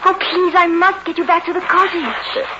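An actress playing a gentle, sorrowful outcast woman in a 1960s radio horror drama, with an earnest, theatrical delivery. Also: very high-pitched, fairly even intonation, normal speed. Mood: tender concern. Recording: muffled, noisy old radio recording.

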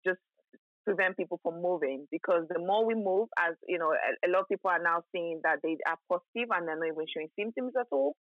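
A woman's voice: lowish voice, expressive, fast, no room sound. A young woman in her twenties from Nigeria talks casually as a podcast guest. She spills into stories with plenty of "um"s and "you know"s, and the recording is muffled and bone dry. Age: 20s. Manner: chatty, storytelling, many fillers. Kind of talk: casual conversation.

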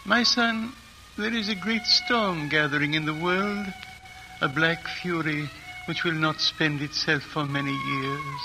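An actor playing an ancient, dying male lama who speaks in slow, grave, prophetic tones, recorded in a 1940s radio drama. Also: sits high, rough recording. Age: older. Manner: slow, grave, solemn pronouncements. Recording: old radio broadcast with music underscore.

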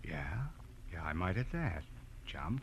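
An actor playing a shady small-time American private detective in a 1940s radio drama, with a sarcastic, cagey, slangy delivery. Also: male voice, deep voice, sing-song, slow, breathy edge, exaggerated voice. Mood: sly, cagey.

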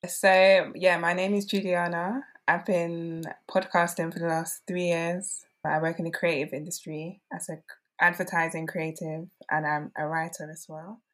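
A woman's voice: fairly low pitch, level tone, normal speed, a bit bright. A woman, telling stories casually as a podcast guest and peppering her speech with "like". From England. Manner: chatty, peppered with "like". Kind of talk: casual conversational storytelling.

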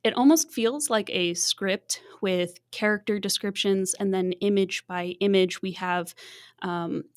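A woman's voice: medium pitch, a little slow. A woman co-hosting a podcast, chatting casually with frequent ums. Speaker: podcast co-host.

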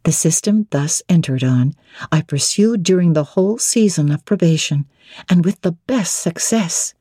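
Female audiobook narrator: formal, expressive, measured delivery with a dark, soft tone and very dry studio recording. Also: lowish voice, breathy edge, no room sound. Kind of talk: dramatic literary audiobook narration.

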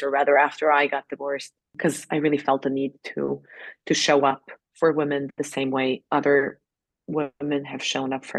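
A woman's voice: low-pitched, gravelly, no room sound, low-quality recording. A woman in her forties from Serbia, a life coach answering interview questions in an open, personal way. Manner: open and personal, with fillers and restarts.

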